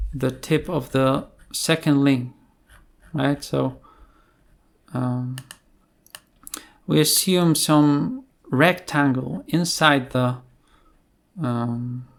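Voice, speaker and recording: Guy explaining stuff in a coding tutorial, slow and creaky, lots of ums. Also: fairly high pitch. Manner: halting, hesitant delivery with many filled pauses. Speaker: programming tutorial presenter. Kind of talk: screen-share tutorial, unscripted explanation.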